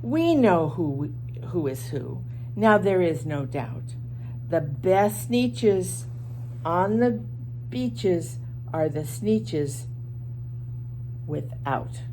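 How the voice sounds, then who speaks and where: A woman's voice: fairly low pitch, animated, drawn-out words, pauses often, darkish tone, slight background noise, low-quality recording. A woman reading out the boast of smug, beaked storybook creatures in a haughty, emphatic chant.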